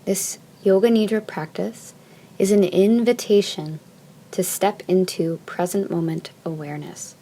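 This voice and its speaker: Soft, mellow woman guiding deep relaxation. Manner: soft, soothing, measured phrasing with long pauses. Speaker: yoga nidra teacher. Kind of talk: guided meditation, slow instruction.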